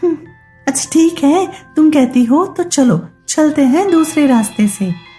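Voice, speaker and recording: A woman's voice: sits high, lively intonation, measured pace, dark tone, soft background music, voice pushed up. A woman playing a patient, sensible, studious young woman in a children's cartoon story, mild and reasoning.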